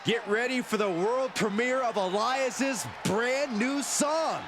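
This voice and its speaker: A man playing an excitable pro wrestling TV announcer in a game, calling play-by-play. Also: extremely high pitch, slow pace, background noise. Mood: excited. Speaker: wrestling commentator. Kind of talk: sports play-by-play commentary. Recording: loud music behind.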